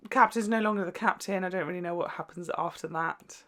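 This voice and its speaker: A man's voice: high voice, a little fast, bright, forceful tone. A man giving casual reaction commentary as a host, with gossipy, exclamatory asides.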